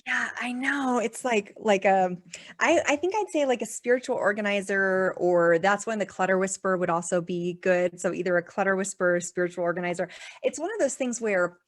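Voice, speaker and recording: A woman's voice: mid-range voice, fairly quick. Podcast guest, woman, chatty, laughs a lot, talks in loose run-on thoughts. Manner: chatty, laughs often, many "like" fillers. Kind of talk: casual podcast interview.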